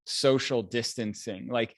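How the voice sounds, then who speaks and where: A man's voice: mid-range voice, steady tone, unhurried, no echo, clean studio sound. A man hosting a podcast, talking casually in an interview. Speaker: podcast host.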